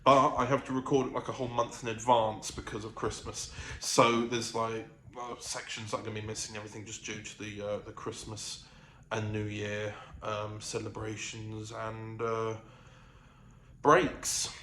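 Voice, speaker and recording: A man's voice: lowish voice, fairly bright tone. A man chatting casually to a vlog, rambling hesitantly, correcting himself and trailing off. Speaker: vlogger. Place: living room of own apartment. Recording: echoey room with faint ambient noise.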